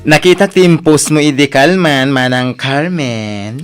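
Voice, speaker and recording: A man's voice: mid-range voice, animated, a little slow, over music, natural voice. An actor with an Ilocano Filipino accent playing a chatty male neighbour in a radio comedy drama.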